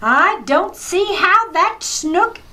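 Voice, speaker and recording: A woman plays an excitable wisecracking wife in a radio comedy. The voice is very high and bright, taking its time over each barb with big melodic swings. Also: takes it slowly, exaggerated voice. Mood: wry, sassy.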